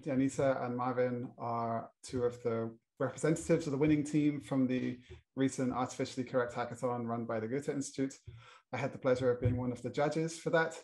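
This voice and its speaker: A man's voice: mid-range voice, a little mellow. A middle-aged British man moderating a panel, introducing the topic with many fillers and restarts. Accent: British English. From Britain.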